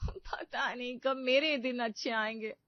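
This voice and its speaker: High-pitched lamenting young housewife acted by a woman. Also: fairly even intonation, a little slow, no room sound, highs cut off, natural voice. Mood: dismayed, lamenting. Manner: melodramatic lamenting. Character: dismayed, complaining young housewife in cartoon story.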